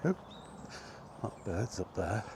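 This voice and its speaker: A middle-aged man giving a casual, rambling chat as he walks outdoors, in an unhurried, offhand manner. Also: fairly low pitch, big pitch swings, vocal fry. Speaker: video game vlogger. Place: outdoors, walking toward a park. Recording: outdoor walking recording with background noise.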